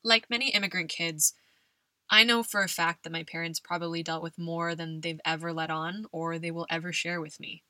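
A woman's voice: on the low side, steady tone, normal speed, sharp tone, dry recording. A young adult woman from California hosting a podcast, telling personal stories conversationally and laughing often. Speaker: podcast host.

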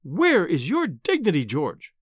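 A man voicing a small, furious, scolding wife in an audiobook, shrill and wailing with outrage. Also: wildly swooping pitch, unhurried, slightly rough, dry recording, studio quality, full character voice. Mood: outraged, scolding. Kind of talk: audiobook character voice.

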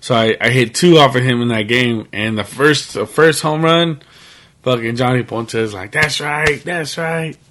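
A man's voice: mid-range voice, moderate pace, fairly bright tone. A man in his late twenties, a podcast guest, talking casually and rambling, with lots of fillers and swearing. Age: 20s.

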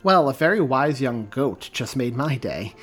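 A man's voice: mid-range voice, animated, unhurried, fairly bright tone, soft background music. A man voicing a wisecracking young boy. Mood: amused. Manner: breezy, joking.

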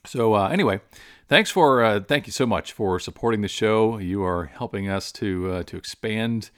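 A man speaking as a podcast host. His voice sits a little low, and his casual thank-you talk wanders with many fillers. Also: normal speed, clean tone. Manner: rambling, filler-heavy, friendly delivery. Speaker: podcast host and game master.

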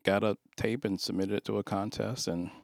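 A man with a Chicago accent co-hosting a podcast in halting, easy-laughing casual chat. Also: fairly low pitch, fairly even intonation, creaky, dry recording, studio quality. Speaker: podcast co-host.

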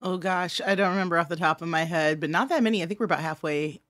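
A woman's voice: lowish voice, fast talker, fairly bright tone, dry recording. A woman on a podcast, talking casually and reading out listener mail. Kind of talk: casual talk and letter reading.

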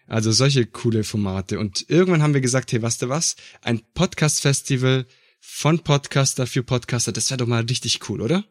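A man from Germany talking casually and effusively as a podcast guest, in an enthusiastic, informal manner. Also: medium pitch. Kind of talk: casual interview talk.

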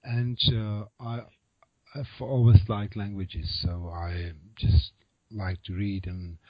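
A man's voice: lowish voice, flat delivery, slow pace, no echo, highs cut off. A man with a Czech accent, a translator talking as a podcast guest, hesitant and full of fillers. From Czech Republic. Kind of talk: podcast interview.

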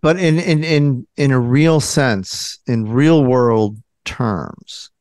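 A man's voice: medium pitch, slow pace, a little mellow, dry recording, studio quality. A man from New Jersey hosting a casual interview podcast, talking in loose restarts and fillers. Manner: conversational, trailing restarts and fillers. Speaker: podcast host.

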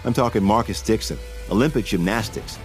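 A man's voice: lowish voice, fairly quick, faint music behind. An American man, a podcast host, reading a scripted promo in a punchy, emphatic way.

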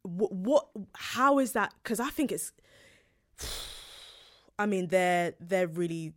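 A woman's voice: on the low side, animated, slow. Young British woman asking probing questions. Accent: British English. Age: young adult. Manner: probing questions, frequent murmured agreement. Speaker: podcast co-host. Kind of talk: casual podcast chat.

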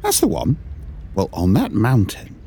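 A woman's voice: very deep voice, wildly swooping pitch, measured pace, warm tone, slight background noise, pitched below the performer's own voice, heavily acted. A woman voicing an honest, brave young village man who speaks earnestly.

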